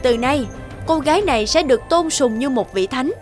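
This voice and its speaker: A commanding goddess of a cartoon fairy tale. Her voice is high and solemn, with absolute authority. Music sits behind her. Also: lively intonation, normal speed. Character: solemn supreme goddess laying down a curse. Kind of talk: animated fairy-tale acting.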